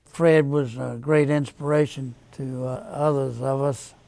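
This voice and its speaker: A man in his eighties speaking in a measured, reflective way in a documentary interview. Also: medium pitch, steady tone, vocal fry, a little mellow. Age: 80s.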